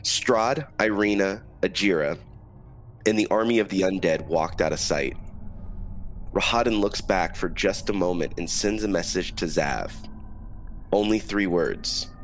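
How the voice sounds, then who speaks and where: Low-voiced man narrating a grim scene. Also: expressive, a little hiss or hum. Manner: slow, solemn scene-setting narration. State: has a cold. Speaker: dungeon master. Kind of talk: dramatic narration of a tabletop game.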